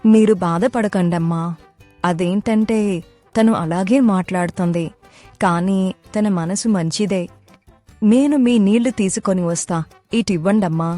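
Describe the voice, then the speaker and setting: A woman voicing a kind, soft-hearted young village woman in a cartoon story, polite and soothing. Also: medium pitch, a little slow, long pauses, faint music behind, close to an everyday voice. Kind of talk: cartoon moral story dialogue.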